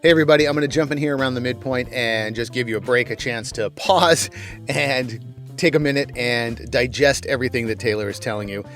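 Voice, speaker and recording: A man's voice: medium pitch, brisk, fairly bright tone, soft background music. A middle-aged man hosting a podcast, talking casually and acting out imagined reactions as he tells stories. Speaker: podcast host. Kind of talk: casual interview chat.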